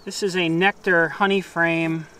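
A middle-aged man working as a beekeeper, calmly talking to himself outdoors on a farm while he works. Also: a little high, measured pace. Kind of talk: casual hands-on narration.

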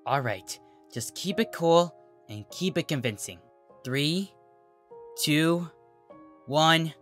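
Narrator doing young female cartoon pony, composed, wry, bright, animated pitch, music behind. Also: takes it slowly. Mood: focused.

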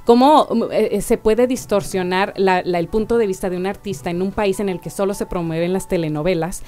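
A woman's voice: medium pitch, steady tone, fairly quick, darkish tone. A woman from Mexico with a Mexican accent, talking earnestly as a guest on a radio panel. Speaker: guest actress. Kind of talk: panel discussion. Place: radio studio.

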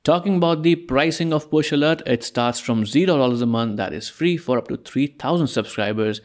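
A man talks through a software tutorial over a screen recording, explaining each step in a relaxed, conversational way. His voice sits at an ordinary middle pitch, and he sometimes corrects himself partway through a sentence. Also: brisk. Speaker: tutorial presenter.

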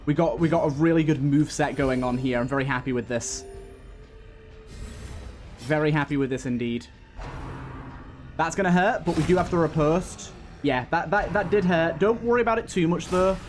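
A man with a slightly high voice talks casually through a video game as a let's play host. He thinks out loud and repeats his phrases. Game music plays behind him. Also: normal speed, pauses often. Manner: thinks aloud, repeats himself, swears.

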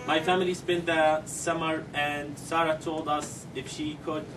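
Middle-aged man, halting press statement; distant mic with steady background noise. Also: fairly high pitch. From Syria. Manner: halting, with many ums and non-native grammar. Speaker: parent speaking at a press conference. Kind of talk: prepared press statement, then answering questions. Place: conference room, seated at a table. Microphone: table microphones, heard through a far camera.

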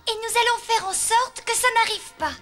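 A brave, urgent cartoon princess. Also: female voice, extremely high pitch, moderate pace, over music. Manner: urgent, resolute. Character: brave young cartoon princess, quick planner. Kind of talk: French cartoon dub.

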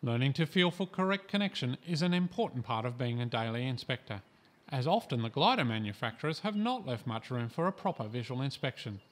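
A man from Australia reading a scripted training voice-over in a calm, measured, instructive way. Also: mid-range voice. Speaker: instructional narrator.

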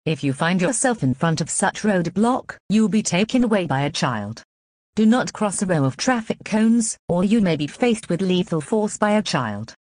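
A woman with a fairly low voice reads an emergency broadcast. Her delivery is synthetic, measured and impersonal. The sound is dry, with no room around it. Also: very animated, darkish tone, dry recording. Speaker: emergency announcer. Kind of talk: scripted emergency broadcast reading.

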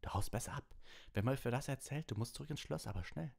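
A man's voice: mid-range voice, fast, gravelly, darkish tone, breathy edge, pitched above the performer's own voice. A man voicing a loyal, plain-spoken teenage schoolboy friend in a storybook reading. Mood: worried, urgent.

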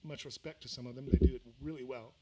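Brief: older Southern-raised male musician, loose rambling stage banter, mid-pitched voice, faint room hum behind. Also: fast, poor audio. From Mississippi, raised in Louisiana. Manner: rambling, relaxed, full of fillers and restarts. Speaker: musician performing a livestream. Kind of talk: casual between-song chat. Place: small music venue, indoors. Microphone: vocal mic on a stand.

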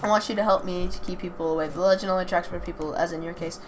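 A woman voicing a mysterious, earnest but teasing forest girl from a visual novel, with halting delivery. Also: lowish voice, fairly even intonation, faint music behind, lightly acted. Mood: earnest, sad. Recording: clear background noise.

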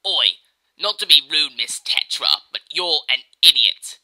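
Someone voicing a bright, whiny boy hero. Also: mid-range voice, very animated, exaggerated voice, rough recording. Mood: exasperated. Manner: sarcastic, halting, casual. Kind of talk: amateur parody dub of a video game.

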